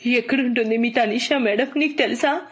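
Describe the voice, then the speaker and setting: A woman playing a protective young mother, anxious yet gentle, in a cartoon story. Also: on the high side, normal speed, pitched above the performer's own voice, natural voice. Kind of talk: cartoon moral story acting.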